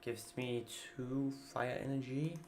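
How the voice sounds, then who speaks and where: A man whose voice is gravelly, with a Danish accent, muttering through his turns as he plays a card game on stream. His pitch sits in a middling range, and a faint hiss runs underneath. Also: fairly even intonation, slow pace, darkish tone. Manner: thinking aloud while playing, casual, muttering asides. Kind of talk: live gameplay commentary.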